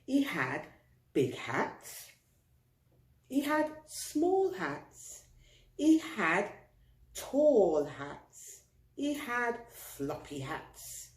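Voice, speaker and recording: Slow, animated woman storyteller in echoey room. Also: fairly high pitch. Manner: expressive, deliberate, with drawn-out emphasis. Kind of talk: children's picture-book read-aloud. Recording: roomy, echoing indoor sound.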